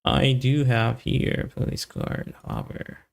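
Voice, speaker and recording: A man with a heavily gravelly, creaky voice talks himself through live coding. His delivery is extremely slow and halting, trailing into muttered fragments, while his pitch moves around quite a bit. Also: wildly swooping pitch. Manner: halting, muttering, half-finished phrases. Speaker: live-stream coding host.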